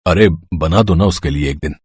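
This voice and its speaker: A man voicing a gentle, patient young father who explains soothingly in a children's moral story. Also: low voice, normal speed, slightly rough, dry recording. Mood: reassuring. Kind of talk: animated Hindi moral story dialogue.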